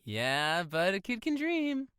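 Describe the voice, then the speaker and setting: Animated, swooping teenage kid. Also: female voice, mid-range voice, slow, smooth, no room sound, exaggerated voice. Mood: playful, excited. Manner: slangy, animated. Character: playful, excitable comic-loving teenage kid. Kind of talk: naturalistic audio drama acting.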